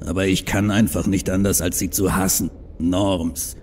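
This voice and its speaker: A man's voice: deep voice, steady tone, normal speed, darkish tone, slight background noise, close to an everyday voice. A man playing a proud, embittered and stubborn Egyptian troll in a fan audio drama, speaking in earnest, emphatic speeches. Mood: bitter.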